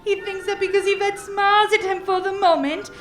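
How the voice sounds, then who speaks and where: A woman's voice: extremely high pitch, level tone, a little fast, a bit bright, soft background music. A woman playing a jealous, sulky young Englishman in a radio drama, petulant and brusque.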